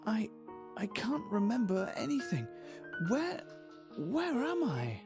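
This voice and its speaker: A man playing a weak, confused young wizard in a dark fantasy visual novel, hesitant and polite. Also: sits high, huge pitch swings, takes it slowly, vocal fry, heavily acted. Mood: dazed, confused. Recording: music behind the voice.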